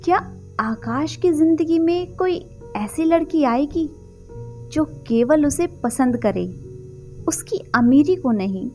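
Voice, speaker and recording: A woman storyteller with a high voice delivers dramatic scripted narration at a slow, deliberate pace. Soft music plays behind her expressive reading.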